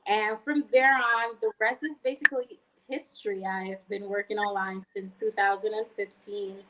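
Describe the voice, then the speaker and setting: Young Jamaican woman, twenties, guest sharing a personal account in a conversational way, medium pitch. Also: fairly even intonation, moderate pace, noisy background. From Montego Bay, Jamaica. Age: 20s. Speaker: guest, online freelancer. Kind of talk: personal story told conversationally.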